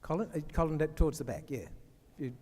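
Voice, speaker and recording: A man's voice: fairly high pitch, quick pace, darkish tone. A man moderating a live panel discussion as its host, speaking in an echoey hall. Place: large indoor event hall. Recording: echoey hall public address sound.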